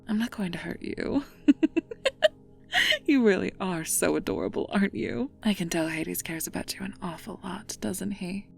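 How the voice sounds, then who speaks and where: A woman playing a playful, regal and wistful goddess in an intimate ASMR roleplay, breathy and often whispering, teasing and musing slowly. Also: mid-range voice, darkish tone, soft background music.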